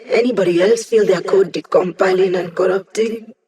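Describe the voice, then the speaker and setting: A woman's voice: medium pitch, steady tone, normal speed, dark tone, natural voice. A woman voicing an upbeat, quirky young hacker who lives as a digital being in a video game, chatty, playful and wry. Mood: brisk, wry. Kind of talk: video game character dialogue.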